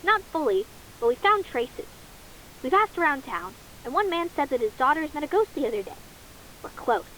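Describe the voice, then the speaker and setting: A woman voicing an eager field agent who reports in a hurried way over a radio. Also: sits high, very animated, pushed and bright, slight background noise, full character voice, rough recording.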